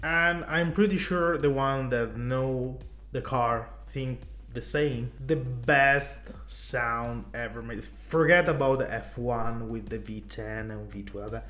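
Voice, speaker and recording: A man's voice: mid-range voice, slow pace, fairly bright tone. A man from Italy with an Italian accent, chatting enthusiastically to camera about cars in loose non-native English. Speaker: car vlogger. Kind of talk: casual talk to camera.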